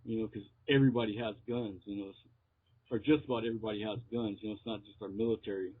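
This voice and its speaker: A man from Texas with an American accent, chatting casually and haltingly as he is interviewed as a musician. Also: fairly low pitch, steady tone, slightly rough. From Texas, United States. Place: remote call. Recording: muffled, tinny phone line.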